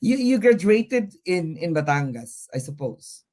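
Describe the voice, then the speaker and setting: A man's voice: fairly high pitch, big pitch swings, measured pace, darkish tone. Rambling Filipino male host, code-switching. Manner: rambling, hesitant, mixes English and Tagalog. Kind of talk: live online pageant interview.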